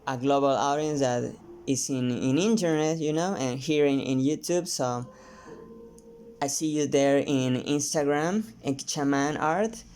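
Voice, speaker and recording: Slow, halting Mexican-accented man. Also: mid-range voice, darkish tone, a little hiss or hum. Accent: Mexican Spanish. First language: Spanish. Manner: halting, many restarts and fillers. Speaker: painter. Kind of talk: casual vlog talk while painting.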